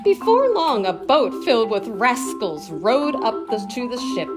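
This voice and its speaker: A woman narrating a children's picture book in an expressive storyteller's style. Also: a little high, a little slow. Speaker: storybook reader. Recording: marimba and xylophone music behind.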